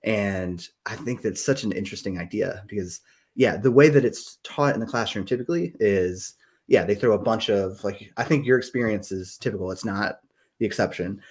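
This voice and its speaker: A man's voice: fairly low pitch, moderate pace. A man hosting a live-stream podcast, talking casually and enthusiastically with many fillers. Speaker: podcast host.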